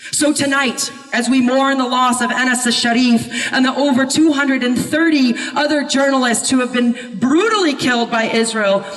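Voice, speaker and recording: A woman activist delivering an impassioned protest speech at a vigil, speaking in an emphatic, deliberate, declamatory way. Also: high-pitched, a bit bright. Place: public vigil gathering, speaking to a crowd. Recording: echoey amplified speech from a distance.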